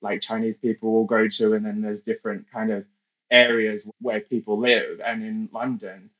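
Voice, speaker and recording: Soft-spoken low-voiced man, flat delivery. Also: normal speed, poor audio. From Australia. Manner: reflective, soft-spoken, many fillers. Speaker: podcast guest. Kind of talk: casual interview talk.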